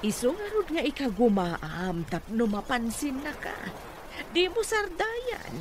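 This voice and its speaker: A woman's voice: high voice, big pitch swings, measured pace, background noise. A woman acting a scheming, pushy mother in a radio comedy drama, with an Ilocano accent. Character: scheming, pushy mother coaching her daughter.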